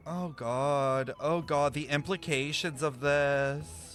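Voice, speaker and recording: A man's voice: on the high side, steady tone, takes it slowly, fairly bright tone. A man streaming his reaction to a video game, chatty and playful, often exclaiming. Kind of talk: casual reaction commentary.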